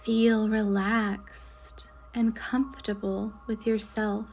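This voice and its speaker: Slow, mellow woman guiding meditation. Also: mid-range voice, flat delivery, a little hiss or hum. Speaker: guided meditation leader, Reiki instructor. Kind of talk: slow guided meditation narration.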